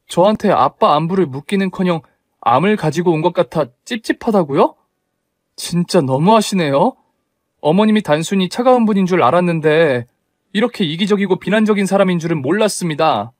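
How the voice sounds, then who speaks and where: A man's voice: a little high, animated, moderate pace, no echo, clean studio sound, pitched above the performer's own voice, lightly acted. A man voicing a young wife who argues back at her mother-in-law in an indignant, pleading way.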